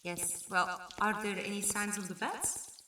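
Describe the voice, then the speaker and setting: A woman playing a kind, concerned companion in a sci-fi audio drama, with a gentle, hesitant manner. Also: mid-range voice, big pitch swings, measured pace. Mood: brisk concern. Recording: echoey, distant, faint noise.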